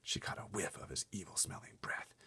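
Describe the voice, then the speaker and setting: A man reading a children's story aloud as narrator, expressive and dramatic, often dropping into hushed suspense. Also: brisk, in a whisper.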